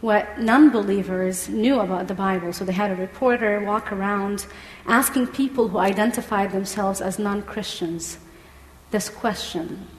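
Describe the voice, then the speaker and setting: A woman's voice: mid-range voice, moderate pace, slight background noise. A woman from Egypt with an Egyptian accent, preaching a sermon, reading scripture and asking the congregation questions. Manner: engaging, asks the congregation questions. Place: church hall. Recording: echoey hall with long reverb.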